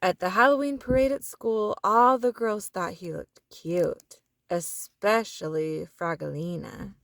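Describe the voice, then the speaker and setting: A woman reading a children's storybook aloud in an expressive manner, drawing out words and sound effects. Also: mid-range voice, unhurried, vocal fry, dry recording. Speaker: children's book reader. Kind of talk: animated storybook read-aloud.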